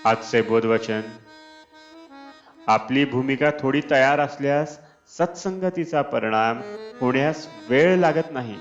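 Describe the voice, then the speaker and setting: A man reading a scripted spiritual discourse in a measured, deliberate manner. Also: medium pitch. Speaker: reader of devotional discourses. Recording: dull lo-fi, Indian classical music behind. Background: Indian classical instrumental music.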